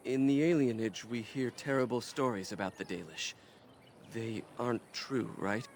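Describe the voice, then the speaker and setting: An actor playing a humble, earnest young city elf in conversational video game dialogue. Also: male voice, mid-range voice, moderate pace, slight background noise, close to an everyday voice. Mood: earnest, grateful. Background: game music.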